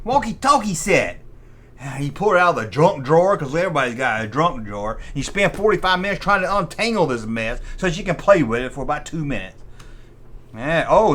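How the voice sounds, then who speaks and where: A man's voice: sits high, fairly bright tone. A middle-aged American man giving casual, humorous commentary in a mocking, sarcastic, folksy way. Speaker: host of a toy review channel.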